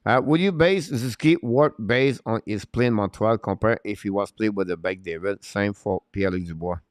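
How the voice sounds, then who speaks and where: Low, clear-voiced man talking hockey. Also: moderate pace, no echo, clean studio sound. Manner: opinionated, emphatic, repeats points. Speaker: hockey live-stream host. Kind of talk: unscripted sports commentary, answering live chat.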